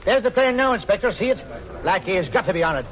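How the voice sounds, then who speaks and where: An actor playing an affable, eager policeman in a 1940s radio drama, brisk and casual. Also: sits high, lively intonation. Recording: dull, noisy vintage radio recording.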